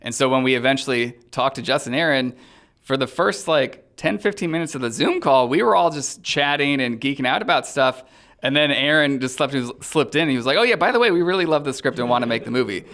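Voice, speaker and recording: A man with a clear, resonant voice of average pitch talks casually as a filmmaker being interviewed. He tells stories in a chatty way, voicing other people's lines as he goes. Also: brisk, fairly bright tone. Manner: chatty, acts out quoted dialogue. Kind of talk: casual animated interview storytelling.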